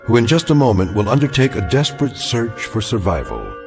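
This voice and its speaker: An actor as a grave, ominous storyteller, narrating a radio drama in a deliberate, portentous manner. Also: male voice, medium pitch, wildly swooping pitch, music in the background.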